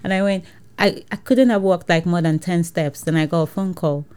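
A woman's voice: lowish voice, moderate pace, darkish tone, clean tone. A woman with a Nigerian accent, an entrepreneur telling her story in a casual interview, acting out dialogue and laughing often. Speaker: entrepreneur guest.

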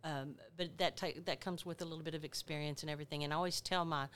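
A woman nurse educator and advocate talking in a podcast interview, halting often with restarts while recalling details. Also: deep voice, steady tone, a bit bright, clean tone.

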